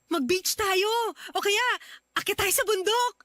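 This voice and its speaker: A woman's voice: extremely high pitch, a little slow, sharp tone, no room sound, studio quality, heavily acted. A woman with a Filipino accent playing a sweet, playful younger sister in a radio drama. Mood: playful, excited.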